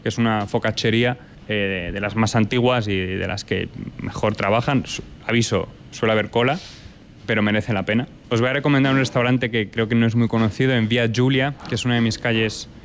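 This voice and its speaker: A man from Italy and Spain who runs a restaurant, answering interview questions in a lively way and dropping in Italian food terms. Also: on the low side, a little fast, slight background noise. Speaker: restaurant owner.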